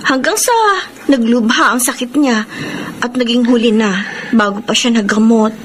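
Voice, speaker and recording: Actress as a worried Filipino wife, higher voice. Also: female voice, steady tone, moderate pace, a little hiss or hum, close to an everyday voice. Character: worried, suspicious, nagging wife. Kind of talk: Filipino radio drama acting.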